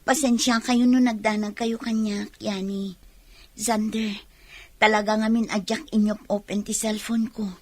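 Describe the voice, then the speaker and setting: A woman's voice: medium pitch, little pitch movement, measured pace, no echo, close to an everyday voice. An actress playing a sorrowful, dutiful young woman in an Ilocano radio drama, speaking with an Ilocano accent. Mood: apologetic, sad.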